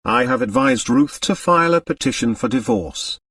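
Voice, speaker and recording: A man's voice: a little high, huge pitch swings, vocal fry, a bit bright, dry recording, voice pushed up, full character voice. A man voicing a curt, commanding millionaire, slightly distant. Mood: curt, grim. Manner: curt, brisk, dry. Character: curt, commanding millionaire father-in-law, grim and businesslike. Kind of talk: audiobook character dialogue.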